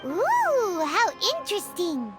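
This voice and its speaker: A woman voicing a tiny, excitable female fairy companion in a video game, squeaky, childlike and exclamatory. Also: slow pace, music in the background, exaggerated voice. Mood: curious. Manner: speaks of self in third person, exclamatory. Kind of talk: video game character acting.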